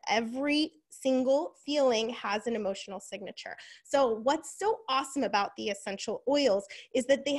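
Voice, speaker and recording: A woman in her thirties from Southern California, leading an online workshop in a chatty way that keeps breaking off to read the chat, heard over a laptop mic on a video call. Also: a little high. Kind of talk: casual live webinar teaching. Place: home room on a video call.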